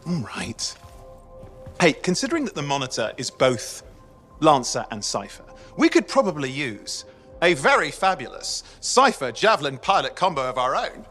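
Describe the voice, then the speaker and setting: An excitable young male sidekick in a video game. His voice sits high and swings around in pitch as he chats away with dramatic sarcasm. Soft music runs underneath. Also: moderate pace. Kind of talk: video game cutscene acting.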